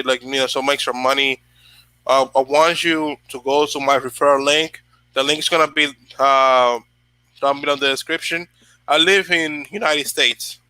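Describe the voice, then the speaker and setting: A man's voice: medium pitch, pushed and bright, smooth, no room sound. A young man from the Dominican Republic hosting a casual motivational livestream, rambling with many fillers and restarts while he answers viewers. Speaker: channel host.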